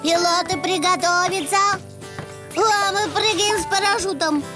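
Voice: extremely high pitch, measured pace, over loud music. A performer voicing a cheerful, eager little donkey boy in a preschool cartoon, exclaiming and thinking aloud in a childlike way. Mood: playful.

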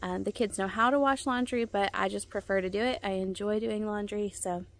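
A woman's voice: mid-range voice, steady tone, a little fast. A woman in her thirties casually explaining her laundry routine in a backyard vlog, recorded on a handheld phone outdoors. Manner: chatty and practical, with frequent ums and self-corrections. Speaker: homeschooling mom vlogger. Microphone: phone held at arm's length.

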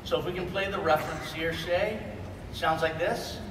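A man's voice: on the high side, fairly even intonation, normal speed. A middle-aged man hosting a panel on stage in a casual, chatty style. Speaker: panel host. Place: large convention hall stage. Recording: echoey hall PA from far off, crowd noise.

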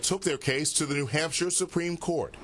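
A man narrating a TV news report in a steady, measured way. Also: fairly high pitch.

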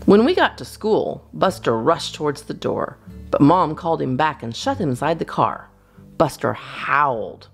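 A woman's voice: low voice, animated, moderate pace, husky. A woman reading a children's storybook aloud expressively, as a storytime reader. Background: guitar music.

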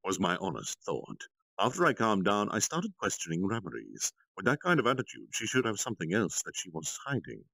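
A man reading a story aloud on a livestream, with expressive narration and dramatic, measured phrasing. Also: fairly low pitch, vocal fry, no echo, rough recording. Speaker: storytime streamer, reader.

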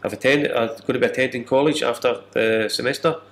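A man's voice: mid-range voice, steady tone, fast. A man in his fifties giving an interview account as a care worker. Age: 50s. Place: small office, indoors. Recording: echoey room.

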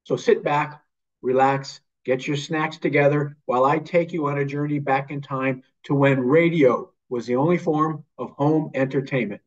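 A man's voice: mid-range voice, steady tone, normal speed. An older man speaking as a show host, giving a scripted introduction over a video call. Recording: slightly muffled video-call sound.

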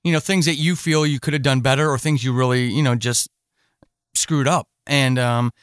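A man's voice: medium pitch, steady tone, moderate pace, smooth, no echo, studio quality. A man hosting a podcast interview in a casual, earnest and encouraging way.

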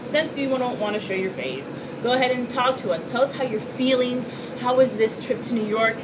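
A woman's voice: a little high, expressive, fairly quick. A woman in her thirties chatting casually as a vlog host in a hyper, teasing, bossy way. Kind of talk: casual, playful vlog chat. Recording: distant mic, loud room hum.

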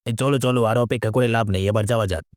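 Man acting a very fast, practical villager. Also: mid-range voice, dry recording, studio quality, lightly acted. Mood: supportive, urging. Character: practical, supportive male villager rallying his neighbours. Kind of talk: cartoon dialogue acting.

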